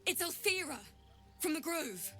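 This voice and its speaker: A woman's voice: very high-pitched, lively intonation, a little slow, fairly bright tone, heavily acted. A woman playing an eager, sweet young bard in a fantasy game, nervous, hopeful, earnest and gushing. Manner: gushing, earnest, hesitant starts. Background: music.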